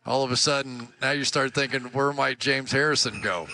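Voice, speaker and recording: A man's voice: medium pitch, steady tone, brisk, pushed and bright. A middle-aged male color commentator in a headset, giving conversational analysis full of fillers. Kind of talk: broadcast sports analysis.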